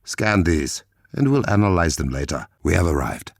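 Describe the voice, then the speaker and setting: An actor playing a regal, commanding warrior king in a video game, with an African accent, measured formal diction and a gravelly, husky voice. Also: low-pitched, sing-song, no room sound, studio quality, heavily acted. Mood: focused, grave. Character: regal, commanding warrior king, stern and proud, adult man.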